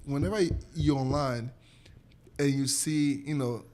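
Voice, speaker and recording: Young man, Nigerian accent, native speaker of a local language; podcast guest, informal animated storyteller, mid-range voice. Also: mid-range voice, measured pace. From Nigeria, Edo State. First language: Edo State local language. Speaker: podcast guest, former college athlete. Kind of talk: casual chat.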